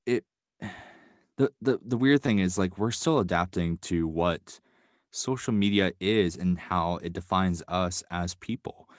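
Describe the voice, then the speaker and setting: Young man, podcast guest, very deep voice, filler-heavy rambling, dry sound. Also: no echo. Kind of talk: casual interview chat. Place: New York City.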